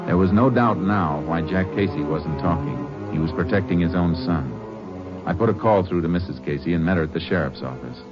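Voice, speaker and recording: An actor playing a calm, authoritative Texas Ranger with an American accent, terse and businesslike, in a 1950s radio drama. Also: male voice, sits low, normal speed, lightly acted. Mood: grave, measured. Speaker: lead actor and narrator. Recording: muffled 1950s radio recording, loud music.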